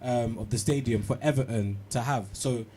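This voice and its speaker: A man's voice: medium pitch, normal speed, a crowd in the background. A young man with a London accent co-hosting a show, telling stories and bantering in casual slang. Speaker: co-host.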